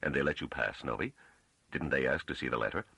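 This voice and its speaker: A haughty scholar of high rank, as a male narrator plays him, talks in a very low voice with a husky edge. He is pompous and peevish, curt and condescending to those beneath him. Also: fast, sharp tone, no echo, exaggerated voice.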